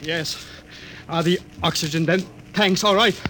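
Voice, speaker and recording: A man's voice: fairly high pitch, measured pace, background noise, close to an everyday voice. A man playing an anxious elderly scientist, agitated and stammering, in an old-time radio drama. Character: anxious elderly male scientist, a devoted father. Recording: old radio broadcast with loud music.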